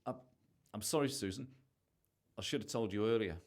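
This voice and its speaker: A man's voice: on the low side, fairly quick, darkish tone. A man in an amateur radio play acting a defensive, sarcastic husband who is hiding something. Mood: evasive, nervous. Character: evasive, irritable husband hiding a secret.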